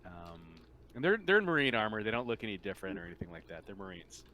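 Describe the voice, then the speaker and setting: A man running a tabletop role-playing game, improvising scene narration in a halting, informal way. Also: fairly low pitch, very animated, pushed and bright. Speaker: game master. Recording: noisy room with typing.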